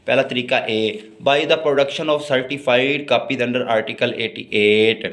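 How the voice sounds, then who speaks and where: Pakistani man lecturing, medium pitch. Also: a bit bright. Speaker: law lecturer. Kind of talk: explanatory lecture mixing Urdu-Hindi and English.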